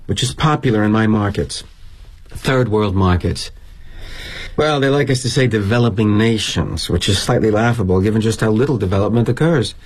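An actor playing a weary, sardonic middle-aged corporate executive, speaking in a wry, confiding monologue. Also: male voice, mid-range voice, darkish tone, husky. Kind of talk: theatrical monologue.

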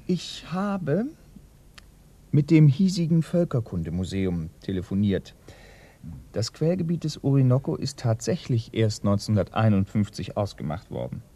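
An actor playing an earnest, bookish police assistant in a radio crime play, speaking in a precise, educated way. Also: male voice, lowish voice, big pitch swings, normal speed, warm tone. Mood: earnest, informative. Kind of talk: German radio play acting.

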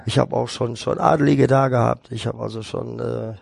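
Male therapist guest, gravelly husky tone, monotone, rambling delivery, dry close mic. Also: mid-range voice, moderate pace, dry recording. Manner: rambling, with many fillers and restarts. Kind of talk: casual podcast chat.

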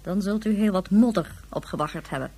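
An actress playing a stern, imperious government official in a radio drama, clipped and demanding. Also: female voice, medium pitch, brisk, warm tone, husky, poor audio.

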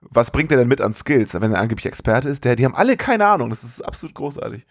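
Male podcast co-host, mid-pitched, slangy and animated storyteller, very dry recording. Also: fast talker, no echo. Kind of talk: casual film-review chat.

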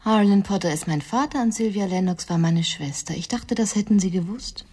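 A woman's voice: mid-range voice, brisk, a little mellow, slight background noise, natural voice. An actress playing a cool, intense, wealthy woman in a radio crime drama, formal and reserved in her delivery. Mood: reserved, curt.

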